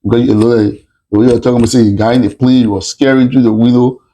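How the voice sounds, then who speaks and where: A man's voice: medium pitch, fairly even intonation, fast, warm tone, slight background noise, rough recording. A male host who asks interview questions and restates them in a rambling way.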